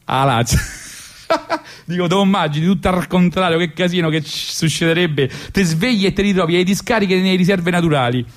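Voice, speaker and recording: A male radio host with a strong Roman accent and a somewhat high-set voice. He talks colloquially, with ironic comic intent, rambling and circling back over his phrases as in a loose stage monologue. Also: brisk.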